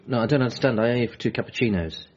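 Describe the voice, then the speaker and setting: A man's voice: medium pitch, rapid-fire, darkish tone, highs cut off, natural voice. An actor playing an earnest, bereaved man, hesitant and apologetic, in a radio comedy drama. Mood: awkward, sad. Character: bereaved, awkward, earnest adult son working as a nurse.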